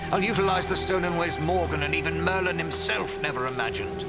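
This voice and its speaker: Man playing grandiose male villain, high voice, pompous theatrical delivery, audio drama, loud music underneath. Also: a little fast, natural voice. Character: grandiose villainous male art collector, obsessed with immortality. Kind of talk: fan audio drama acting.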